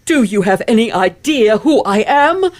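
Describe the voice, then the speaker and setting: Woman acting haughty, theatrical lady elocutionist, swooping pitch. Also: animated, normal speed, lightly acted. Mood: haughty outrage. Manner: pompous, theatrical, precise diction. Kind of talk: old-fashioned radio play acting.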